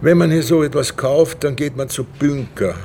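An actor playing a dry, easily amused middle-aged police inspector in a naturalistic radio drama, speaking conversationally with some hesitation. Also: male voice, a little high, moderate pace, a little mellow, a little hiss or hum, lightly acted. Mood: dry, curious. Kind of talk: naturalistic German radio drama, with inner monologue.

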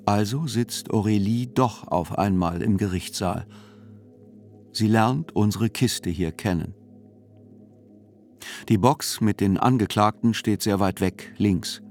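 Radio play narrator, male, sober and unhurried delivery of literary text, faint music underneath. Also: lowish voice, a little mellow. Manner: deliberate, sober.

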